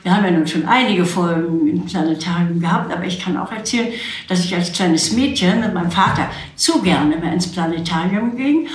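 A woman's voice: fairly low pitch, a little fast. A woman director enthusiastically talking about a production in an interview. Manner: enthusiastic, chatty. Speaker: radio play director. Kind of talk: interview answers. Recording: roomy echo.

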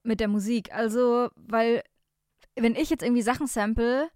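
A young woman co-hosting a podcast, introducing a guest and chatting in a relaxed, slangy way, thinking out loud. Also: fairly high pitch, normal speed, dry recording, studio quality. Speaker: podcast co-host. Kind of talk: casual chat, introducing guest.

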